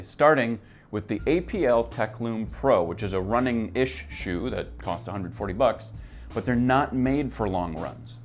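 A man in his thirties reads a product news roundup in a brisk, upbeat presenter manner. His voice sits on the low side. A soft music bed plays faintly underneath. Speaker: gear news host. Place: office with shelves, seated at a desk.

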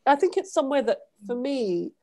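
A middle-aged woman from Trinidad and Tobago, a guest author on a video-call panel. She talks in an animated, casual way through a headset, with many ums. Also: sits high, fairly quick. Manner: animated, gestures while talking, many ums. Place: home room, video call.

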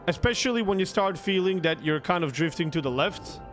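A man's voice: high-pitched, lively intonation, a little fast, soft background music. A young man with a Croatian accent, a streamer casually telling a story in an emphatic, sweary way, repeating words for emphasis, close to a desktop microphone. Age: young adult. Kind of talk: casual storytelling to a livestream chat. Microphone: close desktop microphone with headset.

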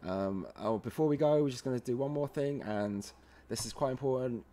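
A man presenting a software tutorial in a casual, halting, step-by-step way, often checking in with a short "okay". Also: medium pitch. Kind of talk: casual screen-recorded software walkthrough.

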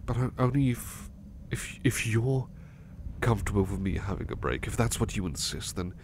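A man playing a gentle, anxious, sleepy male servant in a soft ASMR roleplay, speaking hesitantly and repeating his words. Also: fairly low pitch, lively intonation, moderate pace, a little hiss or hum, natural voice. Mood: earnest, reflective. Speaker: roleplay voice performer.

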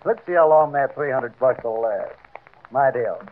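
A man's voice: mid-range voice, animated, moderate pace, close to an everyday voice. Man playing a sneering bully gambler, tinny radio. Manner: sneering, needling, threatening. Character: contemptuous, bullying political big-shot gambler. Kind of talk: old-time radio crime drama acting. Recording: vintage radio, narrow phone-like band.

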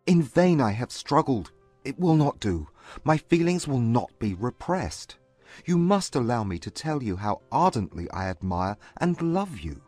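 A proud gentleman suitor acted by a man in a dramatized audiobook. His formal, agitated delivery is husky and widely inflected, recorded dry and close. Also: medium pitch, very animated, unhurried, no echo, soft background music. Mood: ardent, agitated. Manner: formal, intense period diction.

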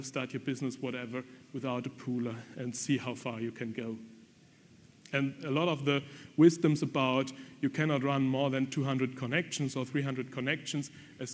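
A man's voice: mid-range voice, a little hiss or hum. A man giving a technical conference presentation, talking frankly with frequent ums and restarts. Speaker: conference speaker. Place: conference hall. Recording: long reverb from lecture hall.

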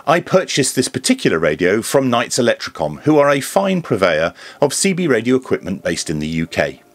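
A man presenting a scripted radio review in a deadpan, tongue-in-cheek manner. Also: on the low side, no echo. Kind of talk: scripted product review narration with dry jokes.

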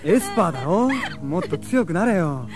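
A man playing a blunt, earnest teenage boy with rough boyish slang in an audio drama. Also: high-pitched, sing-song, normal speed. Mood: reproachful. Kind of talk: anime-style audio drama acting.

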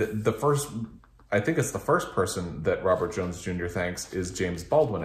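Low-voiced man chatting in an echoey room. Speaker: book reviewer, video host. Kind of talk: casual book chat to camera. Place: small living room with couch and bookshelves, indoors.

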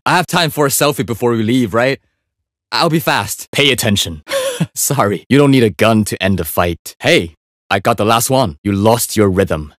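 An actor playing a calm, focused young Chinese assassin in a video game, cool, clipped and politely deadly. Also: male voice, medium pitch, no room sound, studio quality, lightly acted. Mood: calm, friendly. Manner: cool, understated, clipped delivery. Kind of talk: video game character voice lines.

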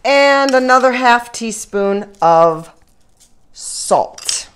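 A woman's voice: on the high side, animated, drawn-out words. A woman hosting an informal cooking demonstration, giving step-by-step instructions with chatty little asides. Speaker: home cooking show host. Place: home kitchen, indoors. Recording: kitchen noise, faint sizzling and clatter.

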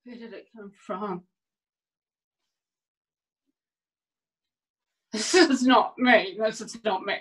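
A woman performing a dramatic monologue as a distraught, bewildered woman, speaking haltingly with repeated phrases and long pauses. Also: mid-range voice, a bit bright, close to an everyday voice. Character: distraught, bewildered woman whose life has suddenly fallen apart.

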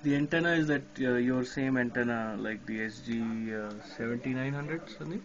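A man's voice: mid-range voice, normal speed, lo-fi sound. A man with an Indian accent, chatting casually as a ham radio operator, laughing often, outdoors at night in the rain.

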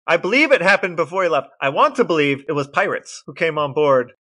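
Bright-voiced man telling a wry story. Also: on the high side, animated, a little fast. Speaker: podcast co-host. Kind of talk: casual chat.

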